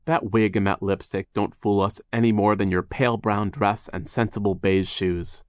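A man's voice: fairly low pitch, fairly even intonation, moderate pace, dry recording, lightly acted. A man voicing a curt, defiant young girl in a storybook, indignant and insistent.

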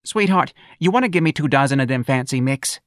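A man's voice: medium pitch, lively intonation, fairly quick, fairly bright tone, no echo, studio quality, voice pushed up, natural voice. A man voicing a weary, complaining working-class mother in an audiobook novel. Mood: weary, plaintive.

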